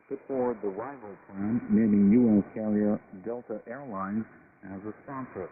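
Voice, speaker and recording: A man reading scripted international news in a formal broadcast style. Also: lowish voice. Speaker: radio news reader. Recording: scratchy narrowband shortwave radio, heavy static. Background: shortwave radio static.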